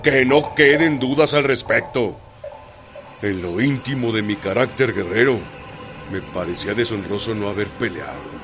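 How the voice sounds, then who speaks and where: A man's voice: fairly low pitch, expressive, normal speed, close to an everyday voice. An actor playing a proud, resolute male revolutionary colonel in a historical radio drama, commanding and declamatory, with a Mexican accent. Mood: solemn, resolute. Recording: dramatized with loud music and crowd effects.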